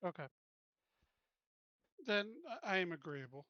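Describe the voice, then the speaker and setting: A man's voice: sits high, expressive, measured pace, no room sound, dull audio. A man playing a tabletop roleplaying game, bluntly talking through the terms of a deal in casual chat. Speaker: player.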